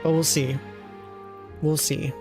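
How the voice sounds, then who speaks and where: A streamer giving casual, rambling game commentary, teasing and chatting with viewers, in a middle-pitched voice. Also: level tone, slow, music in the background.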